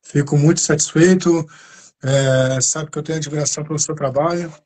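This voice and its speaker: A man's voice: fairly high pitch, steady tone, moderate pace, a little mellow. A Brazilian man hosting a live interview stream, chatting casually, thanking viewers by name and often restarting his sentences. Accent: Brazilian Portuguese. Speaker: channel host.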